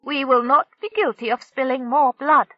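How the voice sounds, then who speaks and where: A woman's voice: high-pitched, steady tone, moderate pace, no echo, voice pushed up. A woman voicing a severe alien starship captain who speaks with firm emphasis. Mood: stern. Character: stern alien Criddy captain, female, unbending. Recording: dull lo-fi dry recording.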